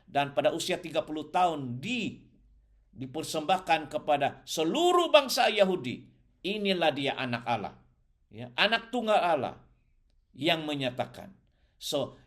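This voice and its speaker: A man's voice: high-pitched, a bit bright. A man teaching a theology class online, explaining his points and repeating them with "ya" and "so", mixing in English words. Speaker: theology lecturer. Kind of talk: online Bible lecture.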